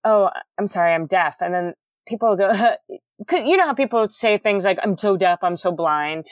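A woman guest in a candid interview, speaking loudly and enunciating carefully, earnest and animated with many fillers. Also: medium pitch, moderate pace, no room sound, studio quality. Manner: loud, carefully enunciated speech.

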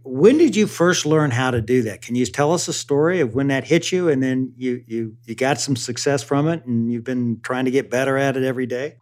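Male interviewer, medium pitch. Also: a little fast, few pauses. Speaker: interview host. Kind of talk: conversational interviewing.

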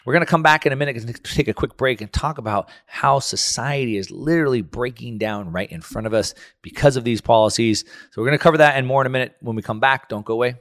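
A man's voice: mid-range voice, fast talker, few pauses, fairly bright tone, no echo, clean studio sound. A man hosting a radio talk show, speaking in an emphatic, conversational way. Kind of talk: radio talk show commentary with scripted ad reads.

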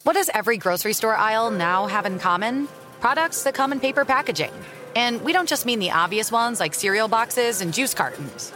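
Female commercial voice, friendly, higher pitch, music bed. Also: lively intonation, a little fast, sharp tone. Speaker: commercial announcer. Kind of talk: scripted ad read.

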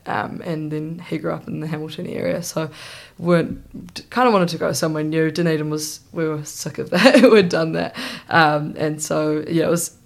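A young woman with a New Zealand accent chats through her story as a podcast guest. Her voice has a gravelly fry and stays fairly level in pitch. She rambles a little, with plenty of ums and restarts. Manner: chatty, many ums and restarts. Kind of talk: casual interview chat.

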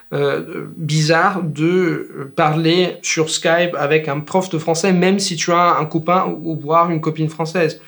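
A man in his thirties from Italy with an Italian accent, talking as a language-teacher guest in a relaxed, rambling way with many fillers and restarts. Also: fairly high pitch, gravelly. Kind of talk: casual conversational interview.

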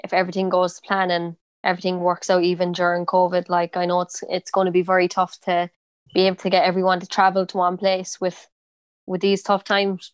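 A young Irish woman speaking with a strong Cork accent, chatting easily through an interview and often pausing on "um" and "you know". Her voice is smooth and clear, with a fairly flat, even pitch. The sound is dry and close, with no room around it. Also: no room sound. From Ireland. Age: 20s. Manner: relaxed, many fillers and restarts. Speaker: interviewee, footballer. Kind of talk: casual interview.

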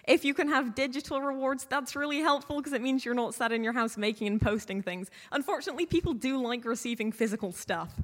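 A woman's voice: fairly high pitch, quick pace, few pauses. A woman speaking as a panel guest, giving informal advice in a wry, casual way. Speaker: panel guest, podcast creator. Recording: echoey hall with long reverb.

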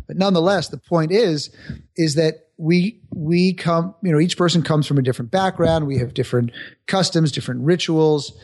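A man from the Bronx with a somewhat high voice talks through his ideas as a podcast guest. He is reflective and builds his points step by step in lists. His delivery is conversational and unhurried. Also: darkish tone. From the Bronx, New York. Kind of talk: conversational explaining.

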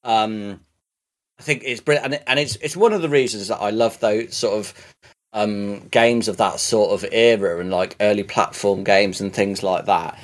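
A man chatting casually on a podcast, rambling with many restarts and swearing now and then as he gets excited. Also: lowish voice, moderate pace. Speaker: podcast co-host. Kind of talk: casual podcast chat about games.

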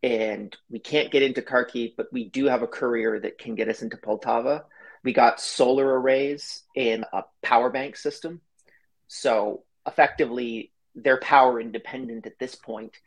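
Male guest, slightly husky, easygoing storyteller on a remote call. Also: medium pitch, steady tone, poor audio. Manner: quick, chatty storyteller who laughs easily. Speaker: podcast guest, company founder. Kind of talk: casual interview talk.

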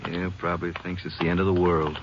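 A man's voice: deep voice, little pitch movement, fast talker, natural voice. An American man playing a plainspoken Texas Ranger sidekick in a 1950s radio drama, with a casual, questioning manner. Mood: easygoing. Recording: muffled old radio broadcast with faint noise.

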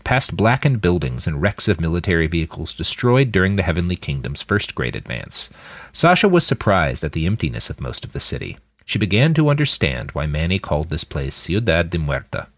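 A man's voice: deep voice, no echo. A man reading a story aloud as scripted audiobook narration. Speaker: author reading his fiction podcast.

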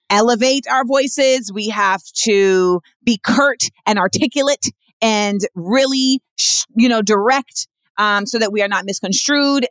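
A woman guest with a general American accent, speaking in a candid interview in a direct, emphatic way, with quick asides. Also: a bit bright, clear, resonant tone, no room sound. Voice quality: says she has a deep voice.

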